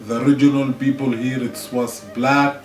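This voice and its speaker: A man's voice: mid-range voice, level tone, normal speed, soft background music. A man answering interview questions hesitantly, searching for his words in English. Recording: distant mic in an echoey room.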